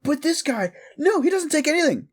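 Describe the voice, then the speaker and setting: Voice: high voice, sing-song, brisk. A performer of unclear sex playing a mischievous, exasperated modern necromancer in a comedic audio roleplay, rambling casually and swearing with incredulity. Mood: scornful exasperation. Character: mischievous, exasperated necromancer prankster, gleefully amoral.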